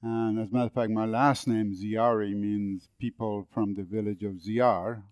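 A man's voice: lowish voice, fairly even intonation, moderate pace, darkish tone, smooth, no echo. Older Persian-accented man, interview guest, loose storytelling delivery, dry and slightly muffled audio. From northern Iran. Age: 70s. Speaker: guest, agricultural engineer. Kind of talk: podcast interview.

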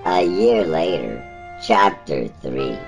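A man voicing a small gentle alien who reads a children's storybook slowly and haltingly, croaky and breaking into squeaks, over instrumental music. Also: big pitch swings, exaggerated voice. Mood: halting storytelling. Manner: slow, halting, childlike storytelling with swooping pitch. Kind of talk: storybook reading in a character voice.

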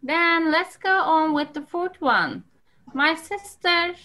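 A woman's voice: very high-pitched, takes it slowly, sharp tone, rough recording. A woman with a Turkish accent teaching an English class over a video call, patiently calling on students.

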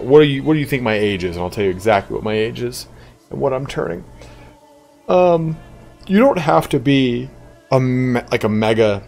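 Deep, animated man streaming a game. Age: 30s. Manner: loud, excitable and profane, quick swings in pitch. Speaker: YouTube streamer. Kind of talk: live-stream chat while playing a strategy game.

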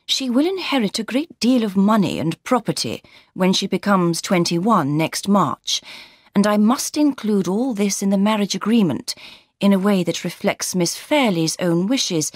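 A woman's voice: mid-range voice, animated, normal speed, dry recording, clean studio sound, lightly acted. A woman reading as a formal older lawyer. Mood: businesslike.

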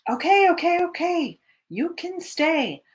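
A woman's voice: extremely high pitch, very animated, measured pace, pitched above the performer's own voice, full character voice. A woman voicing a flustered small child in a picture-book read-aloud, exclaiming and grudgingly giving in. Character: flustered small child shooing animals off the porch.

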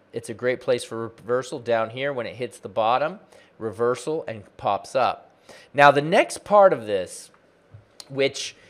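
Man in his forties, mid-pitched, steady teacher walking viewers through a chart; close desk mic, small home office. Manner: plain explanatory, pointing at the chart as he talks. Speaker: trading educator. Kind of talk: screen-share chart tutorial. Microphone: close desk mic, webcam framing.

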